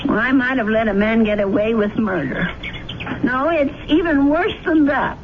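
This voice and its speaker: An actress playing an anxious elderly landlady with a troubled conscience, fretful and halting, in a dramatic radio play style. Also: on the high side, background noise, lightly acted. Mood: anxious, insistent. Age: older. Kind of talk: 1970s radio drama acting. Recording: dull old radio broadcast, loud music.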